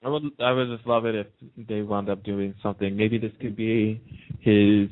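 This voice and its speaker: A man with a fairly low voice chats casually as a radio guest. He comes through a narrow, muffled telephone line with a dry sound. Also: level tone, normal speed, dry recording. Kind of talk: casual chat.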